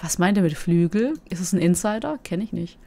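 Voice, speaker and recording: A woman's voice: fairly low pitch, lively intonation, fairly quick, gravelly, dark tone. A woman hosting a casual commentary, reading listings aloud and musing about them in a chatty way, often turning to ask her co-host questions.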